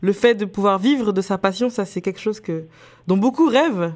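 A woman's voice: mid-range voice, normal speed, a little mellow. A woman working as a radio host, asking questions in a conversational interview style.

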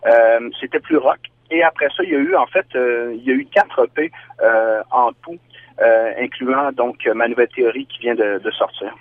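A man from Quebec with a Québécois accent, a singer-songwriter guest giving thoughtful, measured answers in an interview. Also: medium pitch. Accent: Québécois French. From Quebec, Canada. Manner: thoughtful, measured, explaining ideas at length. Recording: tinny dry phone line.

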